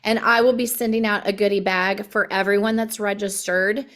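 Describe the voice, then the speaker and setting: A woman with a hoarse, worn voice hosts a video call. Her pitch sits in the middle of the range. She talks in a chatty, easygoing way and fills her sentences with ums. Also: fairly even intonation, normal speed. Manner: chatty and warm, many ums. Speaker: group leader hosting a video call. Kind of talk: casual announcements on a video call.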